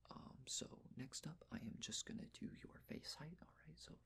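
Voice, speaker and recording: A man speaking in a breathy whisper, gently and reassuringly giving step-by-step instructions in an ASMR personal-attention roleplay. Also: a little high, moderate pace. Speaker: ASMR roleplayer taking face measurements.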